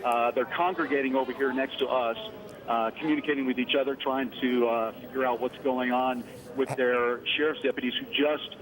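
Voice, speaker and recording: A man's voice: medium pitch, fairly even intonation, normal speed, crowd noise, low-quality recording. An American man giving a live eyewitness report as a field reporter over the phone.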